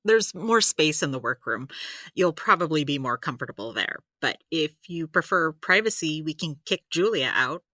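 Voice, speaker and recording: A woman playing a brisk, practical supernatural healer in an audio drama, chatty and explanatory with wry asides. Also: sits low, lively intonation, pushed and bright, no room sound, exaggerated voice. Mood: matter-of-fact. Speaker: healer giving therapy advice. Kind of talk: audio drama dialogue, conversational.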